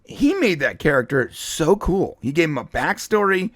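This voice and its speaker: A man's voice: fairly high pitch, sing-song, moderate pace, fairly bright tone, no room sound. Guy hosting a comics chat, casual and into it, explains stuff, close dry sound. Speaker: show host and comic book fan commentator. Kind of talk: casual pop culture commentary.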